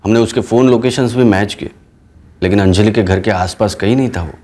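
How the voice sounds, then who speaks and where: A man's voice: fairly low pitch, a little fast, darkish tone, lightly acted. An actor playing a stern, blunt male police investigator in a TV crime drama, with a hard-edged manner. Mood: matter-of-fact. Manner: hard-edged, interrogating, matter-of-fact. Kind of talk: Hindi TV crime drama acting.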